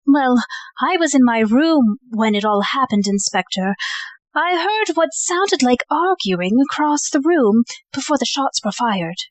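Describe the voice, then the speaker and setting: A woman's voice: high-pitched, expressive, unhurried, dry recording. A woman playing a sophisticated, unfazed titled lady in an audio play, poised and composed.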